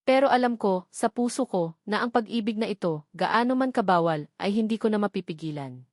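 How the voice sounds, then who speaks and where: A woman's voice: medium pitch, lively intonation, fairly quick, no room sound. Woman narrating a drama, dry close sound. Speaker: story narrator. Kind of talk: scripted first-person dramatic narration.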